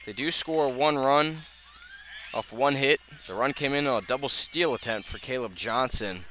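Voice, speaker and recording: Bright-voiced man calling baseball on radio. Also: mid-range voice, moderate pace, a little hiss or hum. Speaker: baseball play-by-play announcer. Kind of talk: live radio sports commentary. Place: outdoor ballpark broadcast position.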